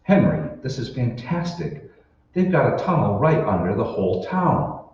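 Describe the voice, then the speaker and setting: A man's voice: mid-range voice, sing-song, normal speed, lightly acted. A man playing a bewildered, skeptical everyman husband in an old-time radio drama, puzzled and questioning. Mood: astonished. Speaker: amateur stage actor. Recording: roomy, distant, dull hall recording with hiss.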